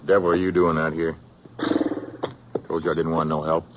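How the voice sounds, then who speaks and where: Surly Western sheriff, American, gruff and curt, deep register, muffled vintage radio audio. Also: male voice, flat delivery, moderate pace, background noise. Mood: surly, defensive. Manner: gruff, curt, clipped rustic talk. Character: surly, defensive male frontier sheriff. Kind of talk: 1950s radio western acting. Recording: muffled old radio recording.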